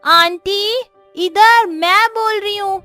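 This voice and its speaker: A woman's voice: extremely high pitch, slow, no echo, soft background music, heavily acted. A woman voicing an insistent young girl passenger calling for attention in a moral story. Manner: shouting for attention.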